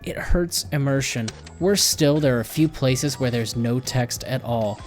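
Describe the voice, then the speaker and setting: A man narrates a video game review from a script. His voice sits at a medium pitch for a man. Music plays behind his words. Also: a little slow, a little mellow. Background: background music.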